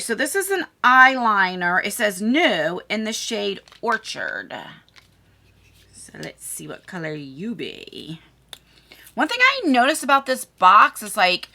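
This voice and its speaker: A woman's voice: a little high, vocal fry, pushed and bright. A middle-aged woman chatting casually and effusively to viewers as a beauty vlogger, unboxing products. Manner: effusive, talks to viewers and to the items.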